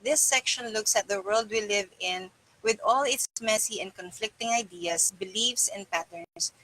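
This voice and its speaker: A woman's voice: mid-range voice, lively intonation, moderate pace, sharp tone, rough recording. A middle-aged woman reading aloud for a live stream, with casual asides to her viewers. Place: small indoor room with bare wall.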